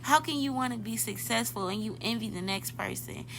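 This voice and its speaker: A woman's voice: fairly high pitch, fairly quick, gravelly, very noisy. A woman in her twenties hosting a podcast, chatting casually and directly to her listeners, often correcting herself mid-thought. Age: 20s. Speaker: podcast host. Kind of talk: casual motivational podcast talk.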